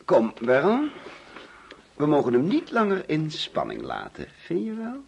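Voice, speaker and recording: An actor playing an overbearing, jovial middle-aged father in a Dutch radio play, theatrical and teasing, with deliberate pauses. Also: a little high, wildly swooping pitch, creaky, dull audio, a little hiss or hum, heavily acted. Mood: firm. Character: overbearing, jovial father hosting an uneasy birthday party.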